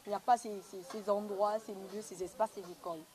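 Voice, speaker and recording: A woman's voice: mid-range voice, fairly even intonation, fairly quick, a little mellow. A young woman with a Congolese accent, answering conversationally as an interviewed film actress, recorded on noisy location sound. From Republic of the Congo.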